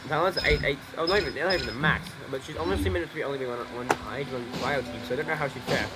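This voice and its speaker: A young man giving rambling, excitable gameplay commentary at home, swearing freely as he plays. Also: mid-range voice, racing, very noisy. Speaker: gaming streamer. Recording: voice over loud game audio. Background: loud game music.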